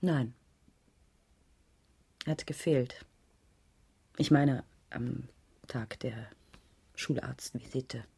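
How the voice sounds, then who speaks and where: An actress playing a world-weary, defensive woman schoolteacher in a radio crime drama, speaking in a dry, measured, sardonic way. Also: rumbling low, gravelly, mellow, husky, exaggerated voice. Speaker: actor in a radio crime play. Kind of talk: 1980s radio drama dialogue.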